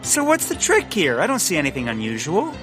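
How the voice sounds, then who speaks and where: A woman playing a hapless, excitable male detective in a comedic period audio drama. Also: deep voice, a little fast, music in the background. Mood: excited. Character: hapless, excitable, bumbling male sleuth in a comic mystery.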